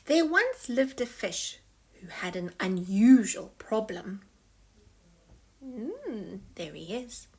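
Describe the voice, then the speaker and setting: A woman storyteller reading a picture book aloud in an expressive, dramatic, deliberate way. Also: mid-range voice, breathy edge. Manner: dramatic, deliberate delivery with sound effects. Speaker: children's storyteller.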